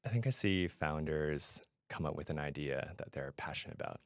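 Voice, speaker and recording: A man's voice: a bass voice, expressive, normal speed, no room sound, clean studio sound. A middle-aged man from Canada speaking conversationally as a guest in an interview. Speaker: podcast guest, fintech product adviser and founder.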